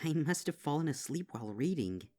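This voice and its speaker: A woman's voice: deep voice, very animated, brisk, mellow. A woman voicing a frightened young female pony, urgent and breathless, in a dramatic story. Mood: nervous relief. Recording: music behind.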